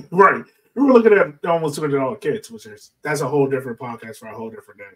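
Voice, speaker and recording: A man's voice: medium pitch, animated, fast, a bit bright, recorded from a distance, poor audio. A man hosting a podcast, talking through points in a casual discussion style. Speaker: podcast host.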